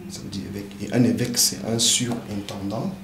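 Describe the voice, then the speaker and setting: Male pastor guest, native English speaker, lowish voice, earnest and hesitant, echoey noisy room. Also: lively intonation, a little fast, fairly bright tone. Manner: earnest, many hesitant fillers. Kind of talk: explanatory interview answers. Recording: echoey room with background noise.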